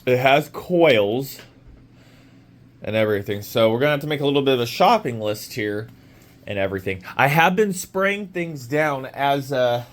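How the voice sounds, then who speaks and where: A man's voice: mid-range voice, animated, moderate pace. A man with a sore throat, his voice a little off, rambling casually in a home garage as he walks through an old motorcycle. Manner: rambling, with many fillers and restarts. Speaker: motorcycle restoration vlogger. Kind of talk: casual walkaround vlog.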